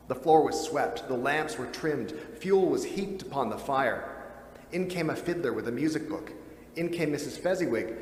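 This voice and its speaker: A man giving a measured, expressive dramatic reading of a classic story as narrator. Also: mid-range voice. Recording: roomy reverberant hall with faint noise.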